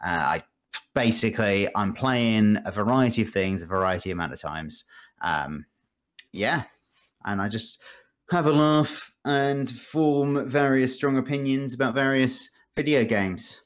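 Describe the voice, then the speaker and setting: A man's voice: mid-range voice, unhurried. A man talking casually as a podcast guest and game streamer, with dry, tongue-in-cheek humour. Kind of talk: casual podcast chat about video games.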